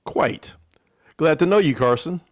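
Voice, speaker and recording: An actor playing a brisk, unflappable British gentleman bodyguard in a vintage radio drama, clipped and polite. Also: a little high, lively intonation, no room sound. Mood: brisk, matter-of-fact.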